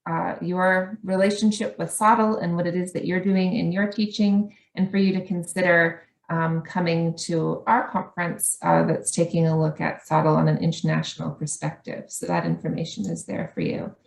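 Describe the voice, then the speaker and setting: A woman's voice: on the low side, flat delivery, moderate pace, gravelly, hardly any pauses, reverberant. A woman with a Canadian accent giving an earnest conference presentation as an academic, with frequent ums.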